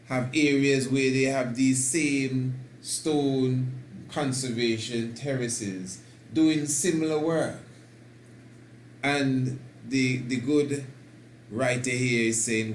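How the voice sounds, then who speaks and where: A man hosting a talk, speaking slowly and emphatically and building to excited declarations. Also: medium pitch. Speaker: host. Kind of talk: preaching talk. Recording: roomy echo with faint noise.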